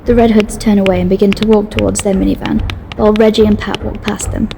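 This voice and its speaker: A woman's voice: mid-range voice, level tone, fast, vocal fry, noisy background. A woman reading scripted story narration as the narrator of an audio drama.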